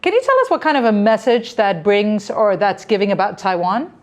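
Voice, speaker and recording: A woman's voice: mid-range voice, lively intonation, brisk. Polished middle-aged woman TV host. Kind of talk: TV interview hosting. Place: TV studio.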